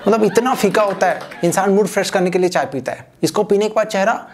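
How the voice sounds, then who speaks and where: A young man doing a stand-up comedy set on a small stage, telling an animated story and acting out other people's voices. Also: high voice, quick pace, crowd noise, background noise. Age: young adult. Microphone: handheld mic. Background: audience laughter.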